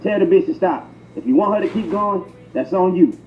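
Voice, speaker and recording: High-pitched man, echoey crude mock tutorial. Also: animated, fairly quick. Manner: profane, slangy, instructional. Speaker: prank tutorial narrator. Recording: echoey, muffled, noisy intercom playback. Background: public transit ambience.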